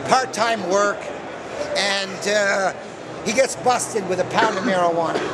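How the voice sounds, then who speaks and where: A man giving an animated speech at an outdoor expo, telling stories and acting out the people he quotes. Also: sits high. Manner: storytelling, acting out quoted officials in raised voices. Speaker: speaker at an expo. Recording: loud crowd and background noise outdoors.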